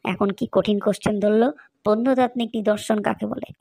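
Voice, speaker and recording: A woman's voice: mid-range voice, fairly even intonation, quick pace, gravelly, dark tone, dry recording, studio quality. A woman voicing a grumpy, evasive, lazy schoolboy in a comedy cartoon, with a whiny, grumbling manner.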